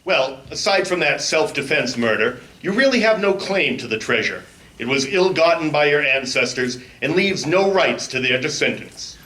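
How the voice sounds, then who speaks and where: A man's voice: a little high, expressive, normal speed, pushed and bright. A man playing a haughty, scolding adventurer with pompous, precise diction in a live radio play. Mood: lecturing. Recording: echoey live stage.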